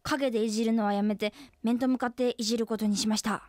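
An actress playing a blunt, teasing young company employee in a radio drama, with a deadpan, brisk delivery. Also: female voice, fairly high pitch, no room sound, close to an everyday voice. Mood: earnest.

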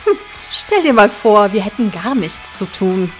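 A woman's voice: mid-range voice, lively intonation, a little slow, soft background music, lightly acted. A woman playing an easygoing, dryly teasing police deputy in an amateur audio drama. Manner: dry, gently teasing. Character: wry, easygoing female police station deputy.

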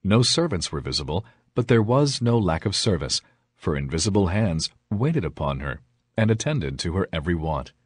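Guy reading a fairytale, low kinda husky voice, really dry close sound. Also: big pitch swings, moderate pace, dry recording. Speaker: storyteller. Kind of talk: scripted fairy tale narration.

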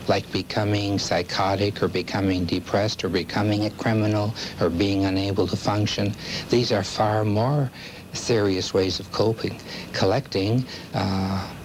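Low, measured man giving expert opinion. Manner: measured, articulate, emphatic. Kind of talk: interview, expert commentary. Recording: old degraded videotape, faint noise.

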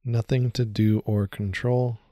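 A man leading a guided meditation in a soothing, unhurried way, speaking in short phrases with long pauses. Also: mid-range voice, level tone, warm tone, no echo, clean studio sound.

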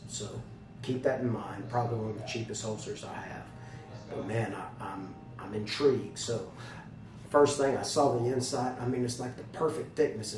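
Slightly hoarse man, distant and noisy. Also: mid-range voice. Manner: folksy, colloquial, addressing viewers directly. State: slightly hoarse, losing his voice. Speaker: product reviewer, channel host. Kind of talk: casual chatty holster video review. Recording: roomy, distant mic with loud background noise.

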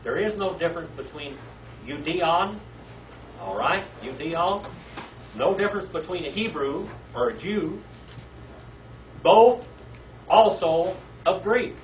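A man's voice: fairly high pitch, lively intonation, slow pace, long pauses. An older man teaching a Bible class from a podium in a wood-panelled classroom, drilling Greek words aloud and often checking in with "all right?". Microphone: podium mic from far camera. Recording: roomy, distant, dull, noisy classroom video.

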